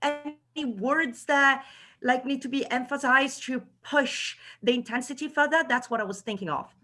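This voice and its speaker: A woman's voice: high voice, normal speed, pushed and bright, faint music behind. A woman acting student asking a question in class, hesitant and full of filler words. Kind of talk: casual classroom discussion.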